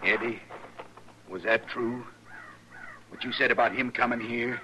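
Sharp-voiced, hot-tempered frontier rancher. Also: male voice, medium pitch, fairly even intonation, measured pace. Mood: grim, threatening. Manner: blunt, confrontational. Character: proud, suspicious rancher father with a hot temper. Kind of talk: 1950s radio western acting. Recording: noisy old radio recording.

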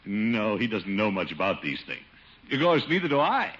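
An actor playing a pompous, easily wounded middle-aged uncle, blustering and muttering theatrically in 1950s radio comedy style. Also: male voice, fairly low pitch, big pitch swings, moderate pace, a bit bright. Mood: sheepish. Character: pompous, wounded, self-pitying chubby middle-aged uncle, town official. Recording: muffled, noisy old radio broadcast.

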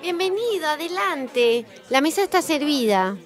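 A woman playing a gracious, lively dinner hostess in a radio play. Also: sits high, measured pace, a faint crowd.

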